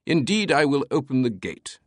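A man voicing a theatrical, playful British aristocrat in an audiobook, with a crisp, light voice and a mock-grand British accent. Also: medium pitch, very animated, moderate pace, no room sound, clean studio sound, voice pushed up. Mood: playfully pompous. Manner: pompous, dramatic, mock-solemn.

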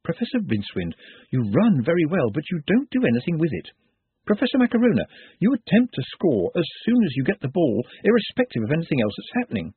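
A man's voice: on the high side, wildly swooping pitch, fast, breathy edge, no room sound, phone-quality sound, voice pushed up, full character voice. A man voicing a small, shy, oddly formal male servant with a precise English accent. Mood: earnest. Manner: precise, elaborate, polite phrasing.

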